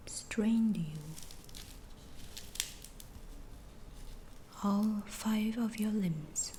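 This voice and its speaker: A woman voicing a calm, reassuring alien abductor in an ASMR roleplay, speaking in a soft, breathy whisper with halting phrases. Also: medium pitch, a little hiss or hum.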